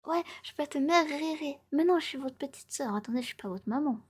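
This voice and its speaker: Young woman streamer, kinda high soft breathy voice, whispery asmr chat, playful n repeats herself. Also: animated, a little fast. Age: 20s. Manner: playful, chatty, repeats phrases. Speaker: live streamer. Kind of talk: casual livestream chat, often whispered ASMR.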